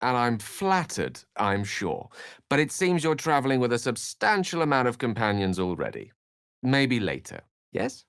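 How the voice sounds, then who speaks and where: An actor playing a learned, courtly wizard in a fantasy video game, theatrical and articulate, with formal, earnest phrasing. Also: male voice, mid-range voice, very animated, normal speed, fairly bright tone, clear, resonant tone, no room sound, studio quality. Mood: wry, courteous. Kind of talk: scripted fantasy game dialogue.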